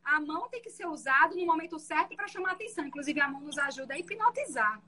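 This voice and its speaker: A woman defense lawyer with a soft voice, explaining her courtroom methods in an interview. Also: high-pitched, animated, fast.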